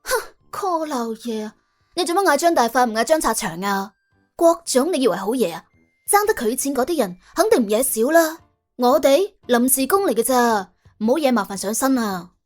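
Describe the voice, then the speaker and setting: A woman's voice: sits high, very animated, moderate pace, faint music behind. A woman voicing a wary, sardonic young female temp worker in an audiobook, blunt and with a Cantonese accent. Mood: sarcastic, reluctant. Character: wary, sharp-tongued young woman doing temp jobs. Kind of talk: audiobook character acting.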